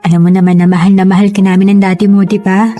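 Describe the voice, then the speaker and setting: A woman's voice: mid-range voice, flat delivery, fast talker, dark tone, lightly acted. A woman acting a weary young mother and girlfriend in a radio drama, hesitant and emotional. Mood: subdued, reassuring. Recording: music under the voice.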